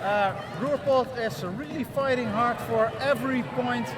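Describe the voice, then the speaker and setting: Man, commentator, very high voice, halting with fillers, crowd roaring behind. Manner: hesitant, many fillers. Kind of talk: live sports commentary. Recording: loud crowd noise. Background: cheering crowd.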